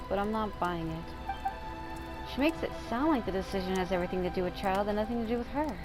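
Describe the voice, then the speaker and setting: A woman playing through a story game, reading lines aloud and commenting as she goes. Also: medium pitch. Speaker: game streamer.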